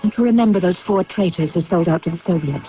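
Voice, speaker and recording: A woman's voice: lowish voice, fast, faint music behind, close to an everyday voice. A woman acting in a vintage radio drama as an anxious, determined figure in a spy mystery, earnest and intense. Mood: secretive, earnest. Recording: muffled old radio broadcast.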